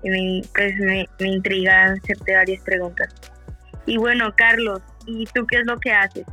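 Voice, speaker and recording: An interview host speaking in a halting way who repeats words of thanks. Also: mid-range voice, little pitch movement, bright, forceful tone, soft background music. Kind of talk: hosting an interview.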